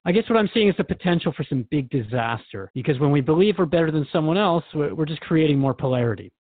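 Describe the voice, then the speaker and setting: A man's voice: a little high, expressive, fast talker, no room sound, phone-quality sound. A man hosting a conversational radio interview, speaking informally and reflectively, with some hesitancy as he thinks aloud. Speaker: radio show host and interviewer.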